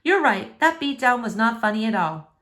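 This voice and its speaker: A woman's voice: fairly high pitch, big pitch swings, moderate pace, fairly bright tone. A woman reading the part of a caring, playful but firm mother who chides and teases. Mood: teasing. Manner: chiding, teasing.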